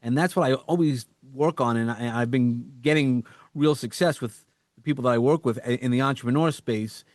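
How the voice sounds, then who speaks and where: A man with a New York accent, a coach talking as a podcast guest, energetic and quick to repeat words and act out quotes. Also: medium pitch, no echo, studio quality. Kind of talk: casual interview chat.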